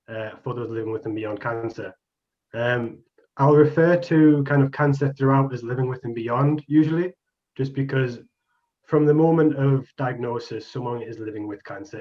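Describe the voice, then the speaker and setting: A young man from Newcastle with a Geordie accent, casually presenting a lecture over a video call with frequent ums. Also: mid-range voice. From Newcastle, England. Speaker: exercise researcher presenting. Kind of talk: online presentation. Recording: muffled video call audio.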